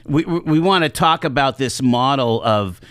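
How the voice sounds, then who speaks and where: A man hosting a live talk show from a studio, upbeat and quick with fillers. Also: mid-range voice, expressive, a bit bright, clear, resonant tone, dry recording. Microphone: studio mic.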